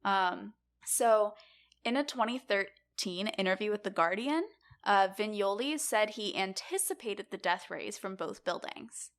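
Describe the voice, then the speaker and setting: A woman's voice: mid-range voice, animated, a little slow. A woman co-hosting a podcast, explaining things in an informal, wryly joking chat style. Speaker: podcast co-host presenting the episode's topic.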